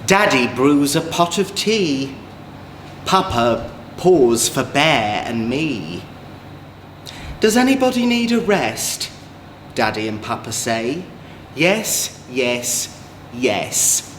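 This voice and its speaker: Male drag storyteller for children's tales; camp, unhurried, highly expressive pitch, playful asides. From England. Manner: campy, playful, exaggerated, chatty asides to young listeners. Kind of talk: theatrical children's story reading. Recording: roomy echo, faint background noise.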